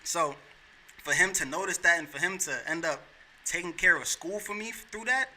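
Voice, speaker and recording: A man's voice: on the high side, normal speed, sharp tone, a little hiss or hum, poor audio. A man in his thirties from New York, a podcast guest talking in an earnest, heartfelt and slangy way. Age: 30s. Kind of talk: casual chat.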